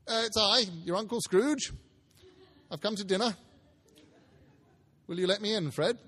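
An old miser turned joyful , acted by a male storyteller, speaks in a raised, hearty voice. He is excitable and emphatic, fond of putting on a mock-stern manner in this storybook tale. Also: high voice, unhurried, voice pushed up. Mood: sheepish, hopeful. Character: old Scrooge, reformed miser, giddy, hearty, mock-growling. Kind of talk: dramatic storybook reading.

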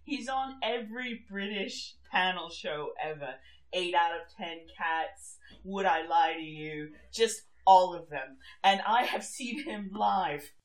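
A woman's voice: on the low side, slow pace. A woman co-hosting a podcast, talking frankly about a book and its TV adaptation, laughing often. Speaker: podcast co-host. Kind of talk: casual book discussion chat. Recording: roomy echo.

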